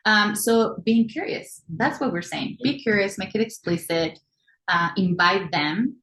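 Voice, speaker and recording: A woman from Mexico with a Mexican Spanish accent, a therapist talking reflectively in a panel discussion with frequent "um" pauses. Spanish is her first language. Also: medium pitch, fairly bright tone. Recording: roomy echo.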